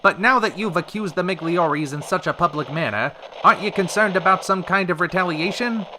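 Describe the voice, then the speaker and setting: A man's voice: high-pitched, brisk, noisy background, natural voice. A man playing a wry, chivalrous radio talk show host in a polished, theatrical old-time style. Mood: probing.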